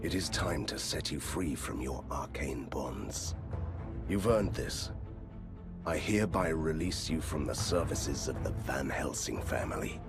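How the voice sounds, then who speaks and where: Low-voiced, wry monster hunter. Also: male voice, lively intonation, measured pace, vocal fry, heavily acted. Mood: earnest, subdued. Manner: dry, sardonic, resolute. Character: wry, steely male monster hunter, sardonic hero. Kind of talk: dramatic video game acting. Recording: loud music under the voice.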